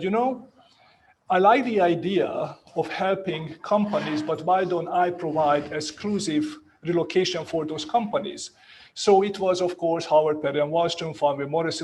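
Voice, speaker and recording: A man's voice: a little high, slight background noise. Hungarian-accented man telling stories. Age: 50s. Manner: animated storyteller, acts out quoted talk, laughs often. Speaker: real estate agent on a panel. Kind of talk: panel talk, personal story.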